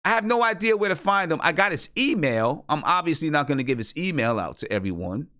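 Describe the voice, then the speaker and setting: A man hosts a music show in casual, insistent talk, laying down guidance for his listeners. His pitch moves around a lot, and the sound is dry and close, with very little room on it. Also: sing-song, fast, sharp tone, no echo. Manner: instructive, insistent, often checking with "right?". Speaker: host of an independent hip hop music review show. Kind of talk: casual live radio-show talk addressed to artists and listeners.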